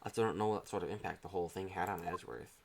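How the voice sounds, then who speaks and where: A woman's voice: very deep voice, steady tone, racing, noisy background, voice pushed down. A woman voicing a serious, pensive male defense lawyer as she reads video game dialogue.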